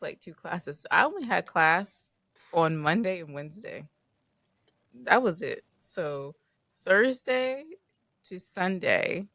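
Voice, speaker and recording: A young woman in her twenties talking casually on a podcast, teasing and quick to laugh. Her voice sits on the low side for a woman, and the sound is close and dry with no room to it. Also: a bit bright, no room sound. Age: 20s. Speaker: podcast co-host; public school teacher. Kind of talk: casual chat.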